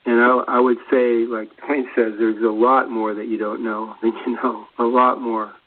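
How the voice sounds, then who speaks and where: A man talks through spiritual ideas in an even, flat tone, hesitating and circling back on his phrases. His voice comes through thin, as if over a telephone. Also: medium pitch, moderate pace. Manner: hesitant, searching for words, repeats himself. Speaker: guest commentator. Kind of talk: rambling spiritual discussion. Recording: thin phone-line radio audio.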